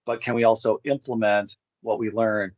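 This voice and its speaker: Man, low voice, casual interview talk, rambling delivery, muffled lo-fi sound. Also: little pitch movement, moderate pace, no echo. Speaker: guest, expedition group co-founder.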